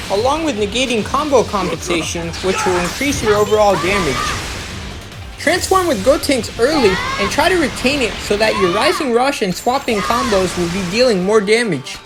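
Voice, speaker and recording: A woman's voice: fairly high pitch, lively intonation, normal speed. A woman giving an instructional, step-by-step voice-over as the narrator of a gameplay guide. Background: music.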